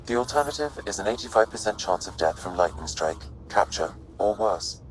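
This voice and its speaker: An actor playing a composed male artificial intelligence with a measured, detached, clinical manner in a video game. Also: on the low side, animated, slight background noise, natural voice, rough recording. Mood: detached explaining. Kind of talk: video game cutscene acting.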